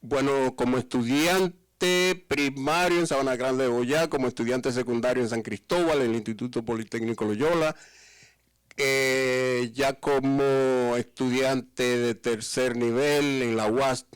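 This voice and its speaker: A man in his fifties from the Dominican Republic, with a Dominican accent, answering interview questions as a teacher in a calm, measured, good-humored way. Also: medium pitch, smooth, no room sound. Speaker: guest, teacher.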